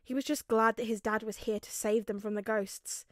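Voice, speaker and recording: High-pitched woman reading a story. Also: steady tone, moderate pace, no room sound, studio quality. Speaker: fan fiction narrator. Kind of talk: expressive story narration.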